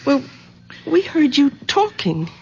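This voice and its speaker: An actress playing an anxious, protective grown daughter, earnest and cautious, in a radio drama on a dull old recording. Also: female voice, high voice, big pitch swings, takes it slowly, a little mellow, exaggerated voice. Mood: gentle concern. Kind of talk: 1970s radio drama acting.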